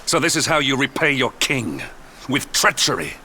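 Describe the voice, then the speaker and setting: A stern, forceful knight. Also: male voice, mid-range voice, big pitch swings, normal speed, a little hiss or hum. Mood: indignant. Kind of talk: video game cutscene acting.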